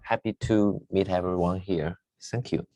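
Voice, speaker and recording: Deep-voiced man on a video call. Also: steady tone, normal speed, warm tone, no room sound, rough recording. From China. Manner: careful, repeats the same rehearsed introduction. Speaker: open-source project maintainer. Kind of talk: short self-introduction in a video meeting.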